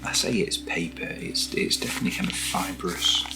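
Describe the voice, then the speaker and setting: A soft-spoken British man chatting through an ASMR unboxing in a breathy murmur that often drops near a whisper, with gently playful asides. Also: low voice, fairly quick, loud music behind the voice. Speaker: ASMR product reviewer.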